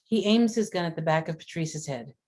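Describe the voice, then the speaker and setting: Female narrator for script stage directions, deep register, scripted narration. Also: expressive, brisk, darkish tone.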